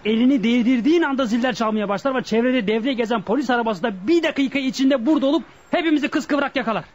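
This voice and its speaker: An actor playing a bossy, impatient leader of a burglary gang in a radio drama, commanding and dismissive. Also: male voice, very high voice, fast, lo-fi sound. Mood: commanding, scheming. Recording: radio play with faint background noise.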